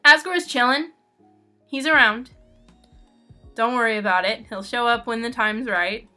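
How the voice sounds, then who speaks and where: A woman's voice: a little high, a little slow, sharp tone, faint music behind. A woman answering fan questions about her own comic in a casual, jokey and informal way. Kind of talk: casual playful chat.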